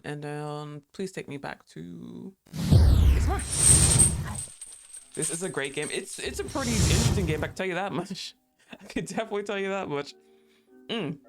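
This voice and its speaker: A young woman streaming, chatting casually and rambling, laughing often and swearing offhandedly. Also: on the low side.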